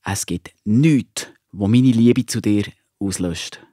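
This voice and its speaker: A man playing a loving, patient father in a children's audio drama, gently reassuring, with a Swiss German accent. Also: fairly low pitch, takes it slowly, no room sound, clean studio sound.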